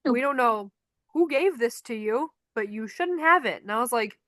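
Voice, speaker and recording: A woman's voice: fairly high pitch, normal speed, no room sound, clean studio sound. A young woman with a Chicago accent, a podcast co-host telling stories in casual chat and acting out the quotes. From Chicago, United States. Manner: animated storyteller acting out quotes. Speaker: podcast co-host, concert photographer.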